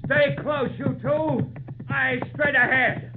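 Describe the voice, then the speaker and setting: An actor playing a harsh, bullying circus riding master, barking orders and scolding in old radio drama style. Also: male voice, very high voice, steady tone, slow pace, gravelly, heavily acted. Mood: urgent, commanding. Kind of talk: 1940s-50s radio acting. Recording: tinny old radio recording with music.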